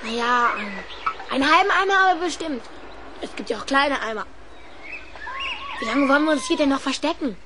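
A woman's voice: sits high, moderate pace, long pauses, noisy background, lightly acted. A woman playing a plucky, curious young boy in a German children's radio play, candid and full of questions. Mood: cheerful, boastful.

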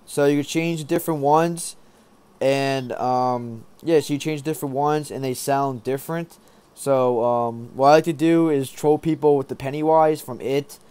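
A young man giving a casual app tutorial in a rambling, halting way, often repeating himself. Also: mid-range voice, steady tone, a little mellow, smooth. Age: young adult. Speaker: tech tutorial presenter.

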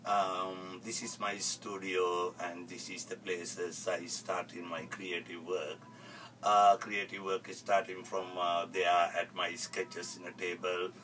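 Man with a Sri Lankan accent, very low pitch, halting English with lots of ums, voice heard from a few steps off the mic. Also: little pitch movement, distant mic, noisy background. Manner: halting non-native English with many fillers. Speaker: fashion designer. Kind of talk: self-introduction video. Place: cluttered sewing studio, indoors.

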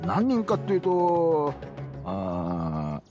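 A man playing an earnest, aggrieved caravan merchant in an anime game, with gruff colloquial wording and emphatic delivery. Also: a little high, wildly swooping pitch, slow, exaggerated voice. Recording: game music behind the voice.

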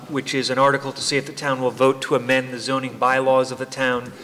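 A man chairing a public hearing, reading out warrant articles in a brisk, procedural way. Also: medium pitch, fairly bright tone. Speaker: meeting chair. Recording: reverberant hall with faint room noise.

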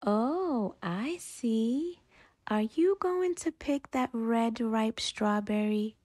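A woman reading a children's picture book aloud expressively, dramatically stretching words and dropping into breathy whispers. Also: fairly high pitch, animated, very slow, darkish tone, dry recording. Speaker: storytime reader and channel host.

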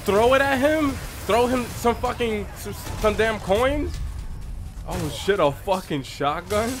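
A young man streaming a horror game from his room, reacting loudly with constant swearing and trash talk. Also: high-pitched, expressive, takes it slowly, faint music behind. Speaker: gamer streamer. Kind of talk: live gameplay reactions. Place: small room at home, indoors.

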